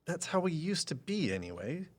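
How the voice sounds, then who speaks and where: Animated, loyal male office colleague. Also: on the high side, normal speed. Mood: wistful, insistent. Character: loyal, wistful man, supportive veteran office colleague. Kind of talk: audio drama dialogue.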